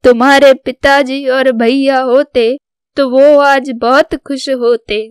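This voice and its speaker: Woman playing a slow, clear-voiced proud mother. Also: high voice, little pitch movement, no echo, studio quality. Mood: encouraging. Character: proud, devoted older mother of soldier sons. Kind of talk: scripted Hindi cartoon story dialogue.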